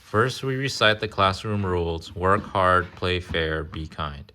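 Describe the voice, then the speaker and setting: A man reading a children's picture book aloud in a casual way, pausing to chat, ask questions and explain. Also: deep voice, lively intonation, sharp tone, a little hiss or hum. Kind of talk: casual read-aloud with chatty asides.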